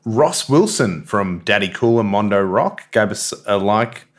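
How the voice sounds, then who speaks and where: A man's voice: on the low side, expressive, measured pace. A man co-hosting a casual music podcast, often stammering and repeating words while agreeing along. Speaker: podcast co-host. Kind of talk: casual chat.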